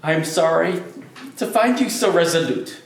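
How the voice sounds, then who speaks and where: A man's voice: a little high, expressive, measured pace. A man playing a cheerful, good-natured young nephew, earnest and pleading, in an amateur comic play reading. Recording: very echoey room, distant, faint noise.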